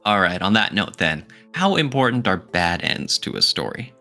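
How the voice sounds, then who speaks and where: A man's voice: lowish voice, sing-song, moderate pace, bright, forceful tone, soft background music. A man co-hosting a podcast in casual debate style, speaking emphatically and arguing playfully. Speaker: podcast co-host.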